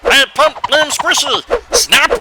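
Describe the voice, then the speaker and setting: A man's voice: very high-pitched, lively intonation, slow pace, very noisy, exaggerated voice. A man voicing a small, friendly, innocent teddy bear wrestler in a cartoon. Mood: urging.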